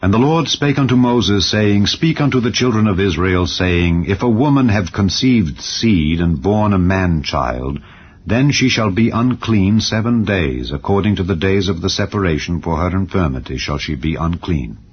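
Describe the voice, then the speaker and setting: A man reading scripture aloud in a solemn, measured dramatized recitation. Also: deep voice, animated, a little hiss or hum. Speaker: Bible reader. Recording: dull audio under soft music. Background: faint background music.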